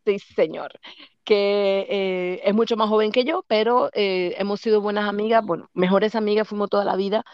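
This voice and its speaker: A woman's voice: medium pitch, steady tone, normal speed, clear, resonant tone, dry recording, muffled recording. A middle-aged Dominican woman being interviewed, casually recalling her past in a rambling way, repeating names and laughing often. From Dominican Republic. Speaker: guest.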